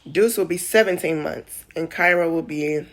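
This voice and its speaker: Woman, about thirty, low with vocal fry; casual rambling vlogger, emotional. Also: moderate pace, slight background noise. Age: 30s. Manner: emotional, rambling, tearful at times. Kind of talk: casual vlog chat.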